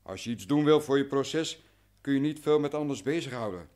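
An actor playing a cautious, worn-down merchant in a radio drama, explaining things formally and carefully. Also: male voice, medium pitch, lively intonation, brisk, a little mellow, close to an everyday voice. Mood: weary, explanatory. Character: cautious, anxious, worn-down male grain merchant.

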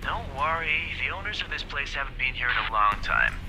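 An actor playing excitable, mocking teenage schoolboys in a horror video game. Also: medium pitch, brisk, slight background noise, full character voice. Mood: nervous, dismissive. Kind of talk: visual novel video game voice acting.

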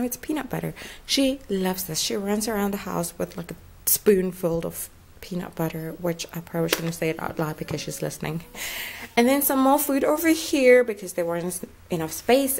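A young South African woman chatting informally in a casual home vlog, with lots of conversational asides. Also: fairly low pitch. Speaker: family vlogger, mother. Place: indoors at home, kitchen and table. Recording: clearly noisy home recording with clicks.

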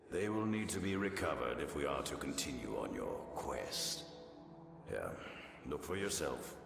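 A man's voice: expressive, measured pace, exaggerated voice. An actor playing a haughty, sardonic old library curator in a video game, formal and disdainful in his delivery. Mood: grudging, instructive. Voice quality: deep, gravelly. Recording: long cavernous reverb, game music behind.

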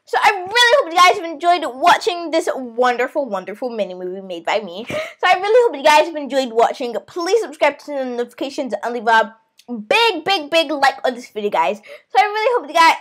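A very high, youthful female voice from a teenage gaming host. She is expressive and theatrical, talking through a roleplay game to her viewers from a room indoors. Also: brisk. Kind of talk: dramatic roleplay game commentary.

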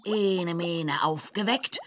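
Bossy witch, slow delivery. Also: lowish voice, very noisy. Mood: spell-casting. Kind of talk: children's computer game acting.

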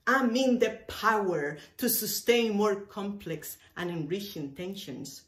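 A woman's voice: mid-range voice, lively intonation. Emphatic Cuban-born woman preaching, roomy sound. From Cuba. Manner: emphatic, deliberate phrasing with repetition for weight. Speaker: minister. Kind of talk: sermon. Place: indoor room with bookshelves, speaking to camera. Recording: roomy, echoey indoor sound.

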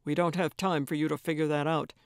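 A woman's voice: low voice, little pitch movement, fast, no room sound, studio quality, pitched below the performer's own voice. A woman voicing a commanding, secretive man in an audiobook, terse and tightly controlled as the figure gives urgent orders. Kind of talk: audiobook character voice.

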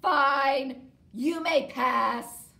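A woman voicing a grumpy, hungry giant female troll in a storybook, loud and slow with a scratchy voice. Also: high-pitched, gravelly, pitched above the performer's own voice, full character voice. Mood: grudging. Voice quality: scritchy scratchy voice. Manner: loud, slow, menacing growls. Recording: roomy echo.

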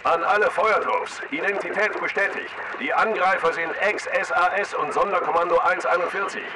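Male actor as game military radio operator, fairly high voice, clipped commands, clearly noisy background. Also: moderate pace, natural voice. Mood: commanding, urgent. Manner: clipped, procedural, authoritative.

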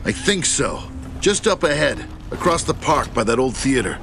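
Wildly animated, cynical soldier. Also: male voice, medium pitch, moderate pace, vocal fry, slight background noise. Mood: grudging. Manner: sarcastic, complaining. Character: cynical, hot-tempered young male soldier. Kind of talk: video game cutscene acting. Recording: loud game music over dialogue.

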